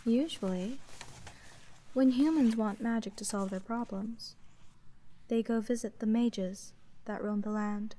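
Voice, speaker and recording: A woman playing an aloof, polite sea witch merchant in a slow ASMR roleplay, speaking formally in a deadpan monotone. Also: a little high, close to an everyday voice. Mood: composed, businesslike.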